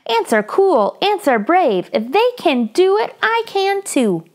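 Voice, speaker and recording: A woman's voice: extremely high pitch, big pitch swings, slow, pitched above the performer's own voice, exaggerated voice. A woman voicing tiny, spirited storybook ants with an emphatic, sing-song delivery. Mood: cheerful chant.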